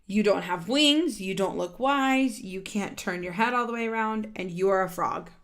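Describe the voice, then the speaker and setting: A woman's voice: medium pitch, normal speed, a bit bright, natural voice. A woman doing a firm grown-up frog. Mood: patient. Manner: firm, explaining.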